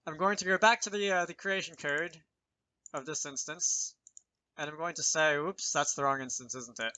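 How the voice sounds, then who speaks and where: A man's voice: a little high, moderate pace, bright, forceful tone, poor audio. A man presenting an informal programming tutorial, thinking aloud with self-deprecating asides as he explains. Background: keyboard typing and mouse clicks.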